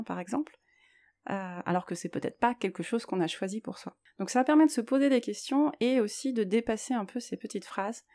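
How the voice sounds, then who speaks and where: A woman's voice: mid-range voice, mellow. A woman hosting a solo podcast, talking reflectively and hesitantly as she thinks aloud. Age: 30s or older. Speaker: podcast host.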